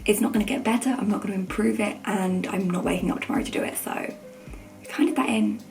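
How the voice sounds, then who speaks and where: A young woman with a croaky voice, chatting informally and rambling in a self-deprecating way as a student vlogger. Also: medium pitch, fairly even intonation, fast, a little mellow, faint music behind. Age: young adult. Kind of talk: casual chat to camera.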